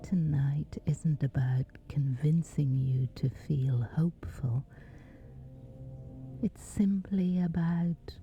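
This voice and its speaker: A woman speaks a sleep meditation in a hushed, whispery voice with a creaky edge. She goes extremely slowly and gently, leaving long pauses in a soothing, ASMR-like way. Soft music plays quietly behind her. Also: sits low, lo-fi sound. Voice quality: breathy, whispery.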